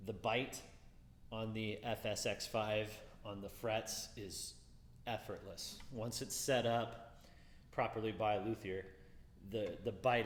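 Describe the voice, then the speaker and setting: A man with a deeper voice giving a casual guitar review, musing and repeating words as he talks. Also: roomy sound, in a large hall, slight background noise.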